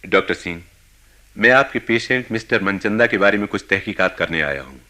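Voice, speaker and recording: A man's voice: fairly low pitch, lively intonation, brisk, pushed and bright. A man acting a police investigator in a radio play, formal, probing and insistent.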